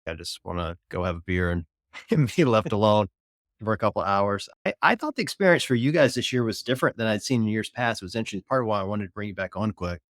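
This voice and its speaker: A man's voice: lowish voice, animated, fast, a bit bright, dry recording, studio quality. A man hosting a podcast, chatting in a rambling, laid-back way with his guest. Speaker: podcast host. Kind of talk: casual chat.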